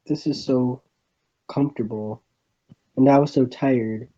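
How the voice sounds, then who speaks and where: Halting male story reader, muffled recording. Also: medium pitch, steady tone, dark tone. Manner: halting, stumbling over words while reading. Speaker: visual novel reader. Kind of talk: narrated first-person reading of a visual novel.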